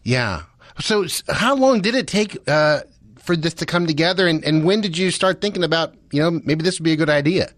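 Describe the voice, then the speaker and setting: Male radio interviewer, conversational, slightly high voice, close dry sound. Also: animated, a little fast, a bit bright, dry recording. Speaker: radio show host.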